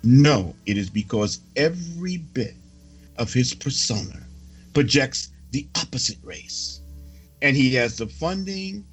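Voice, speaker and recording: A man reading a prophecy in a solemn sermon style, with emphatic, deliberate oratory and formal phrasing. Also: mid-range voice, expressive, soft background music. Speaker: religious reader delivering a prophecy report.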